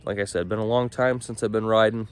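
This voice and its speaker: A man's voice: lowish voice, fairly even intonation, quick pace, clean tone. A man with a nasal voice, stuffy from a cold, chatting casually to camera as an off-road vlogger. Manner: upbeat, chatty, easygoing. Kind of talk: casual vlog narration to camera.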